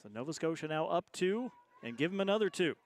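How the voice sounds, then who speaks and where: A man giving measured, conversational analysis as colour commentator on a live sports broadcast. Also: a little high, lively intonation.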